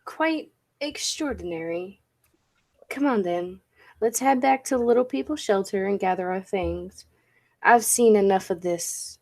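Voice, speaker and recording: A woman's voice: mid-range voice, expressive, unhurried, a little mellow. A woman playing a proud, driven inventor and time traveler in a 1940s-style radio play, speaking in formal, theatrical phrases. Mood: assured.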